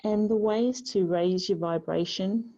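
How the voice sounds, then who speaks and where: Dark-voiced woman gently guiding yoga. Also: medium pitch. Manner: gentle, unhurried guiding with pauses. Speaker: yoga teacher. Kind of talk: guided yoga class instruction. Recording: slightly dull, lo-fi sound.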